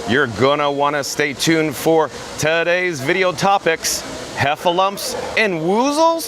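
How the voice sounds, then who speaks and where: A man with a hoarse, raspy voice hosting a chatty live stream outdoors at a theme park and talking into a handheld phone. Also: fairly high pitch, animated, measured pace, background noise. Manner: upbeat, chatty, reads chat aloud. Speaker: vlog host.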